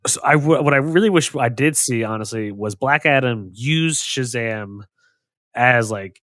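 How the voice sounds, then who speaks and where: A man's voice: medium pitch, a little slow, a bit bright, no echo, studio quality. A man co-hosting a podcast, bantering casually in slang, opinionated and given to acting out quotes. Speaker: podcast co-host.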